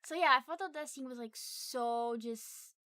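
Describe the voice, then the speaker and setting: Bright, high-voiced woman chatting casually. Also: normal speed, no room sound, studio quality. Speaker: podcast co-host reviewing a film. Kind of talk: casual film review chat.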